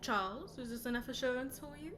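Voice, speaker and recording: A woman's voice: high-pitched, fast talker, over music, lightly acted. A woman playing a formal, challenging board member in an audio drama, with a pointed manner. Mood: intrigued.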